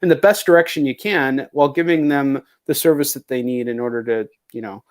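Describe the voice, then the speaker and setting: A man's voice: medium pitch, a little fast. A man chatting casually as an interview host, speaking bluntly and swearing often. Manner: blunt, frequently profane. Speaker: host, comic book writer and publisher.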